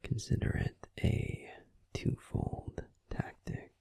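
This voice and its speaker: A man's voice: slow, no echo, close to an everyday voice. A man voicing a grumpy but tender male werewolf boyfriend in a whispered bedtime audio roleplay, fussing protectively and then soothing. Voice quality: gruff and soft whispering.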